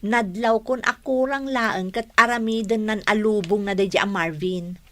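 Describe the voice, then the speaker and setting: A woman's voice: mid-range voice, moderate pace, lightly acted. An actress playing a worried, agreeing young woman friend in an Ilocano radio drama, with an Ilocano accent. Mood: concerned.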